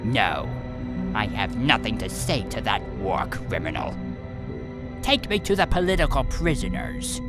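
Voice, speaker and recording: A man's voice: on the low side, very animated, unhurried. A man voicing a defiant, bitter alien general in a comic dub, stern and commanding, with music behind him. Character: battle-hardened alien general, defiant, bitter son of the emperor. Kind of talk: dramatic comic dub acting.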